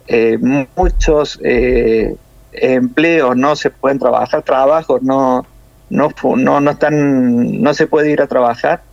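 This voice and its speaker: A man from Córdoba with a Cordoban Argentine accent, a nurse explaining things in a measured way with many hesitations over a phone interview. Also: medium pitch, creaky, no room sound, dull audio. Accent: Cordoban Argentine Spanish.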